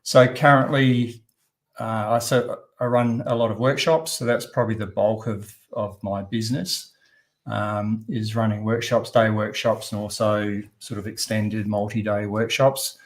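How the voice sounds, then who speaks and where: A man's voice: fairly low pitch, level tone, unhurried. A middle-aged Australian man talking casually about himself as an interview guest. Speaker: podcast guest, landscape photographer.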